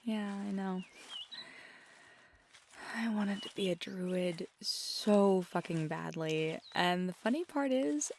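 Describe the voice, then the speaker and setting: A woman playing a feisty, affectionate magical girlfriend in an intimate ASMR roleplay, soft-spoken and halting, sometimes slipping into a whisper. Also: mid-range voice, a little hiss or hum. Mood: wistful, fond.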